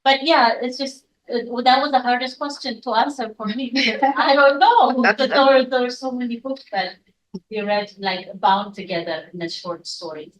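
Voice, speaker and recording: A woman who grew up in Ethiopia introduces herself at a workshop, talking haltingly with many restarts. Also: a little high, far from the mic, rough recording. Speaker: educator at a workshop. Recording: voice picked up from across a room.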